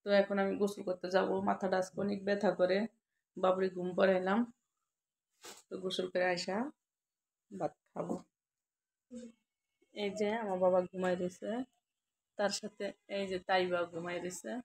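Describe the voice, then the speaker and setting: A woman's voice: mid-range voice, level tone, moderate pace, creaky, long pauses, darkish tone. A woman with a Bangladeshi accent, a mother casually narrating her home vlog and chatting with family. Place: indoors at home. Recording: household noise, clattering dishes.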